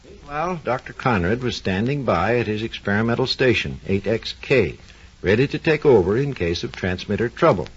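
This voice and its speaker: A man's voice: on the low side, animated, moderate pace, breathy edge. A man playing a knowledgeable doctor who recalls radio's early days, in vivid, folksy scripted 1940s radio narration. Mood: reminiscing, animated. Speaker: expert storyteller. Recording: dull, lo-fi 1940s radio recording.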